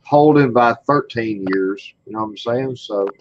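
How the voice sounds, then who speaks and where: A man on a casual livestream, loud and teasing, who swears a lot and keeps calling out to his friends. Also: medium pitch, measured pace, highs cut off, slight background noise. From Colorado. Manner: loud, teasing, profane, repeats "hey". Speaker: livestream participant. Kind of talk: rowdy casual chat.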